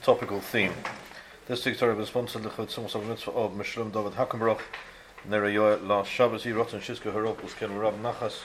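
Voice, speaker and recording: Casting: low, monotone male announcer over a noisy, clicking recording. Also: brisk. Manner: flat, list-like delivery. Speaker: event announcer.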